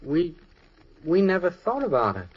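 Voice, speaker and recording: An actor playing a casual, boyish teenage big brother in an old radio drama, terse and plain-spoken. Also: male voice, high-pitched, wildly swooping pitch, normal speed, breathy edge, muffled recording, heavily acted. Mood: earnest. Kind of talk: 1950s radio drama acting. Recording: old radio broadcast recording.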